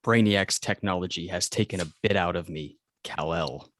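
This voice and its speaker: A man voicing a calm alien robot companion in a formal, deadpan manner at an amateur table read. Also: low voice, normal speed. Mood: weary, matter-of-fact.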